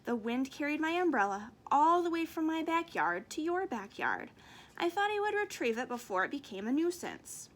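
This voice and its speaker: A woman voicing a gentle, polite giant panda in a children's picture book, speaking with formal courtesy. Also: high-pitched, big pitch swings, normal speed, voice pushed up. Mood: apologetic, polite.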